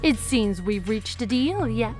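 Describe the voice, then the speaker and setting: A woman's voice: a little high, animated, a little slow, darkish tone, soft background music. A woman playing a commanding, scheming villainess in an audio drama, imperious and theatrical. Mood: stern command. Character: commanding, scheming villainess running a deadly game.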